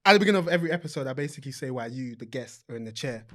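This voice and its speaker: A man's voice: medium pitch, animated, very fast, a bit bright. A man hosting a podcast, chatting casually in a laid-back, slangy way. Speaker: podcast host.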